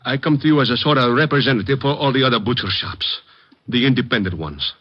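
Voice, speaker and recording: Guy doing a naive butcher, husky voice, anxious broken english, muffled old radio recording. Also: medium pitch, quick pace, natural voice. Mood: worried, earnest. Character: worried, earnest immigrant male neighborhood butcher. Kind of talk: 1940s-50s radio drama acting.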